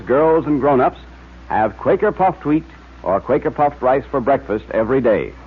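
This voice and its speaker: Animated old-time radio announcer man, tinny. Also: mid-range voice, husky. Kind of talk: 1940s radio announcing, scripted narration.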